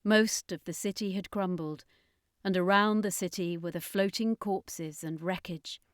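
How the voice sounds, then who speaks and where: A woman's voice: lowish voice, steady tone, a little slow, no room sound, studio quality. A woman reading an adventure story as narrator, in a dramatic scripted storytelling style.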